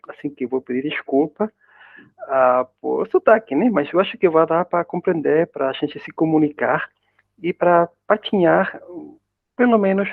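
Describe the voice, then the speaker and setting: A man with a Spanish accent that is mixed with a Brazilian one gives an informal online lecture in Portuguese, keeps adding little tag questions, and often restarts his words. His voice is fairly high and gravelly. The sound is dry and thin, like a phone call. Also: dry recording. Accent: Spanish-accented Portuguese, partly Brazilian. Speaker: guest lecturer. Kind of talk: academic web conference talk. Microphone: video call. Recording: dry tinny video call audio.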